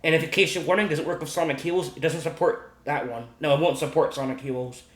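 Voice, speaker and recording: A man casually explaining game modding off the cuff, in a halting, repetitive way that circles back over his points. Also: on the high side, a bit bright. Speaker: gaming video host. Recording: echoey room sound.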